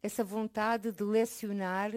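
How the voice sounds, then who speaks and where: A woman hosting a broadcast interview, asking clear, formal questions. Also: mid-range voice, flat delivery, measured pace, a little mellow. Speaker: interviewer, host.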